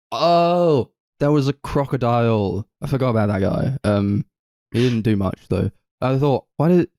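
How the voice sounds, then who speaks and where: A man chats casually as a film podcast co-host in a dry-sounding recording. His delivery is animated and rambling, acting out lines as he retells things and dropping in swear words. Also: medium pitch, no echo. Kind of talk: casual film review chat.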